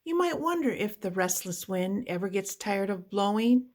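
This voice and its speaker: A woman reading a children's picture book aloud with deliberate, expressive phrasing for young listeners. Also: medium pitch. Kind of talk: read-aloud children's storybook narration.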